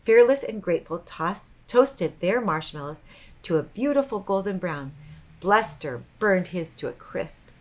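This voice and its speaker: A woman's voice: lowish voice, measured pace. Woman reading a children's story aloud. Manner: expressive, child-directed storytelling with asides.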